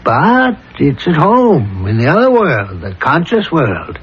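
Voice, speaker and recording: An actor playing a calm, insistent psychiatrist with a measured, deliberate delivery in a 1970s radio drama. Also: male voice, a little high, husky, full character voice. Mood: insistent, methodical. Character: calm, probing male psychiatrist pressing his patient with theories. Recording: dull lo-fi 1970s radio recording.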